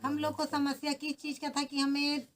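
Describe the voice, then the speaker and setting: A woman's voice: high-pitched, completely flat delivery, normal speed, a crowd in the background. A woman being interviewed who tells plainly and earnestly what happened to her as a migrant worker. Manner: plain, earnest storytelling with short restarts. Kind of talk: recounting personal experience in an interview.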